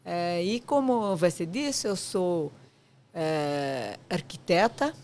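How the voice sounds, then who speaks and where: Portuguese-accented woman on a podcast, measured, keeps saying portanto, bit of hiss behind. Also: fairly low pitch, expressive, a little mellow. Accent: European Portuguese. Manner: measured, explanatory, pauses with "portanto". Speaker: architect, association president, guest. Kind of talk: podcast interview.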